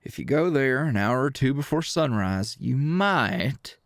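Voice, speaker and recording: An actor playing a friendly, awkward, protective rancher with a Southern US accent and hesitant, stammering, folksy speech in an ASMR roleplay. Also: male voice, mid-range voice, animated, a little slow, dry recording, studio quality. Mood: helpful, conspiratorial.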